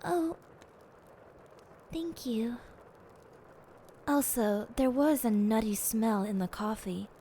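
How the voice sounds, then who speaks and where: A woman's voice: on the high side, takes it slowly, pauses often, dark tone. A woman playing a timid, sweet young detective's assistant in an audio roleplay, hesitant and apologetic, with background noise. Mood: curious, meek. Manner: hesitant, meek.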